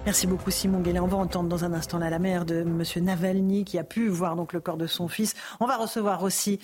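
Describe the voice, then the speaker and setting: A woman hosting a live news debate show, moving the talk along with brisk cues and short interjections. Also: on the low side, fairly even intonation, a little mellow, soft background music. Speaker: TV and radio talk show host.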